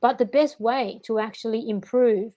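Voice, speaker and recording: A woman's voice: fairly high pitch, moderate pace, mellow, lo-fi sound. A woman with an Australian accent, tutoring English in an online session and explaining patiently and encouragingly.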